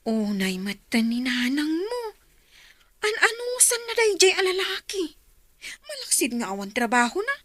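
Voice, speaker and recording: A woman's voice: sits high, wildly swooping pitch, unhurried, fairly bright tone, no echo, full character voice. A woman acting in an Ilocano radio drama as an outspoken, sympathetic village storekeeper. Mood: indignant sympathy.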